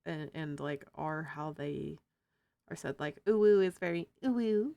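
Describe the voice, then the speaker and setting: A feminine-voiced streamer chatting casually while drawing, giggling often and cracking silly jokes. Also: female voice, sits low, a little slow, vocal fry, dark tone, dry recording. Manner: giggly, jokey, laughs often.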